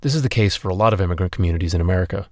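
A young man with a lowish voice narrates his own story in a podcast. The sound is dry and close. His telling is candid and personal. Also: racing, dry recording. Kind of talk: scripted narration and interviewing.

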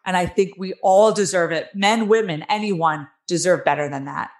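Middle-aged woman guest, Jersey accent, raspy and nasal, low-ish voice, candid and emphatic. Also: normal speed. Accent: New Jersey. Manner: earnest, emphatic, with many fillers. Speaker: guest author. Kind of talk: candid interview storytelling.

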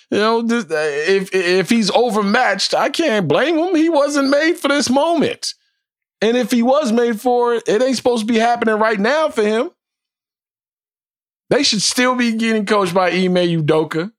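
A man hosting a sports podcast, giving casual, slangy, emphatic commentary in a conversational monologue. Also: a little high.

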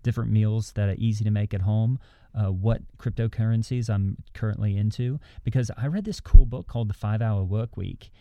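Very mellow, low-voiced church host played by a man. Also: brisk, no echo, highs cut off, lightly acted. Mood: self-satisfied, encouraging. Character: mild, self-satisfied evangelical pastor-type podcast host. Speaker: podcast co-host. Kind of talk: improvised satirical church podcast banter.

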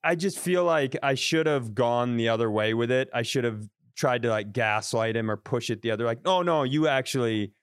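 A man's voice: medium pitch, normal speed, hardly any pauses, clean tone, dry recording, clean studio sound. A man co-hosting a podcast over a video call, telling a self-deprecating story in a rambling, casual way with lots of restarts. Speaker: podcast co-host. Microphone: podcast mic over video call.

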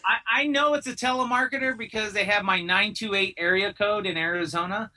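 Man, very bright sharp tone, fairly high voice, rambling podcast guest, swears casually. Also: brisk, distant mic. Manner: rambling, lots of restarts and swearing. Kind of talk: casual chat.

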